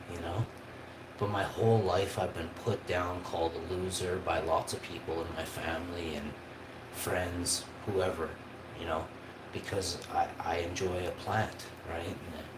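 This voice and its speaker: A man's voice: low-pitched, echoey room, background noise. A man, telling a rambling personal story on a livestream in a casual, chatty way. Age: 40s. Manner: casual and chatty, with frequent tag questions and restarts.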